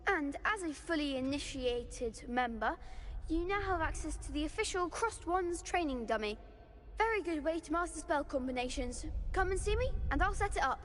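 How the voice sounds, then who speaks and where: An actor playing an eager schoolboy duel organiser in a video game, speaking with theatrical, formal enthusiasm. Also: male voice, very high-pitched, lively intonation, normal speed, a bit bright. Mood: congratulatory. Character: eager, high-voiced schoolboy who coordinates a dueling club. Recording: long reverb, noisy game ambience.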